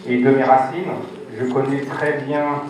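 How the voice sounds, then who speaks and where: A man from Cameroon, a music producer, answers questions at a press conference with level, flat intonation and many hesitant pauses. He sounds distant from the microphone in a very echoey hall, with a crowd murmuring behind him. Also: mid-range voice. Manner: steady, even delivery with frequent hesitations.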